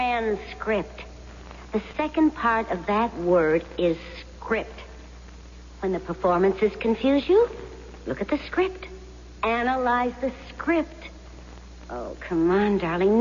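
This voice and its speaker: An actress playing a persuasive, affectionate, confident wife in radio drama, coaxing with emphatic theatrical delivery. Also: female voice, fairly high pitch, big pitch swings, slow, darkish tone. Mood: coaxing, teasing. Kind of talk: 1970s radio drama acting. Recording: dull, noisy, reverberant old radio recording.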